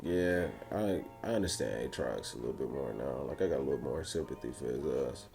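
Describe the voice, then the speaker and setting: Male co-host, very low mellow voice, laid-back street slang, casual banter with music underneath. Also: lively intonation, brisk, creaky. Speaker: co-host of a reaction channel. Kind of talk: casual reaction chat. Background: background music.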